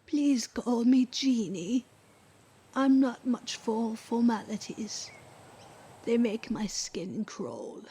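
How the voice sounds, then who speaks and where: A woman playing a weary, embittered ex-wife living in seclusion, in a radio drama, speaking in a breathy hush with blunt resignation. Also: fairly high pitch, expressive, slow, slight background noise. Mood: guarded, blunt. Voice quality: breathy, hushed. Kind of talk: scripted radio drama dialogue.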